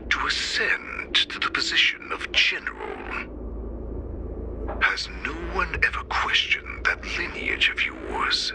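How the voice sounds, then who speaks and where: An actor playing a ruthless, proud wolf-man warlord in a video game, with a menacing, breathy growl and theatrical delivery. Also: sits low, very noisy, full character voice. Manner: slow, theatrical, menacing speech. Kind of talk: video game cutscene acting. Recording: loud game music behind the voice. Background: game soundtrack music.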